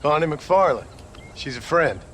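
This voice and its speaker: An actor playing a plainspoken, laconic reformed gunslinger and husband with an American frontier drawl, in a video game. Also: male voice, fairly high pitch, big pitch swings, measured pace, a bit bright, a little hiss or hum. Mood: wry, defensive. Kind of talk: video game dramatic dialogue.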